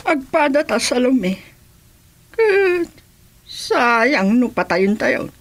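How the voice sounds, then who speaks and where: A woman performs a brazen female rebel chief with an Ilocano accent, pitched high and drawn out slowly. She commands and teases in comedic radio-drama fashion, over faint noise. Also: very animated, exaggerated voice. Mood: scheming.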